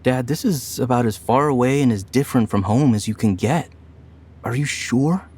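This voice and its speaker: A man as a breathy, earnest young son. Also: medium pitch, normal speed, close to an everyday voice. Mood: touched, surprised. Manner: gentle, hesitant, earnest. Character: sweet, earnest grown son, a young male animal. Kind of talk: motion comic voice acting.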